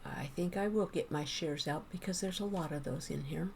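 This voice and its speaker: A woman streaming a game, chatting casually with viewers and rambling as she thinks out loud. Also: sits low, normal speed, gravelly, a little hiss or hum. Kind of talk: casual gameplay chat with viewers.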